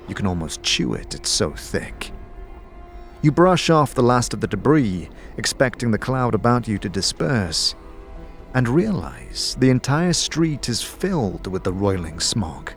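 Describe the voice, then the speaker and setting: A man reading scripted, dramatic fantasy narration as a storyteller, in a measured, descriptive manner. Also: medium pitch. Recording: music behind the voice. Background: background music.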